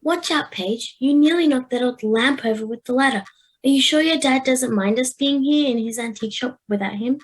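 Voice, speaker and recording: A woman's voice: high voice, normal speed, lightly acted, low-quality recording. An Australian girl of about ten playing a curious, anxious modern schoolgirl in an amateur radio play, recorded at home. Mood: cautious, curious. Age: 10 to 11, child. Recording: home video-call recording with music.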